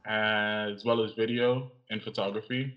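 Young man from Cameroon, flat lo-fi voice. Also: lowish voice. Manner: measured and reflective, some pauses. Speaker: guest, audiovisual artist and writer. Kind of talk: interview conversation. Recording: lo-fi, slightly dull sound.

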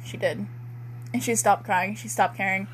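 A woman's voice: medium pitch, steady tone, normal speed, crowd noise, low-quality recording. A woman doing a casual comedic dramatic reading with banter, in a giggly, self-mocking and irreverent manner. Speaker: co-host and writer of the story being read.

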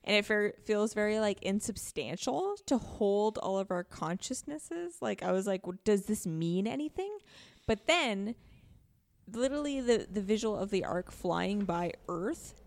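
A woman with a noticeably creaky, gravelly edge and a middling pitch talks casually as a podcast co-host. She sounds informal and a little hesitant, working through her thoughts out loud. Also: expressive, measured pace. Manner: informal, hesitant, thinking aloud. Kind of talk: casual discussion of a video game.

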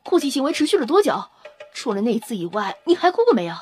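A woman's voice: on the high side, big pitch swings, fast, a little mellow, faint music behind. A woman playing a nosy, coolly curious vampire scientist in an audio drama, blunt and inquisitive. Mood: eager curiosity. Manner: inquisitive, blunt, matter-of-fact.

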